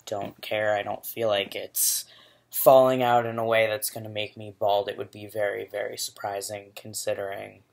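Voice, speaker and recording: A young man talking casually to his laptop webcam in a small room, candid and rambling, with a rumbly voice. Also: level tone, normal speed. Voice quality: rumbly, gravelly. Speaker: vlogger. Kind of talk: casual video diary. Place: small bedroom indoors.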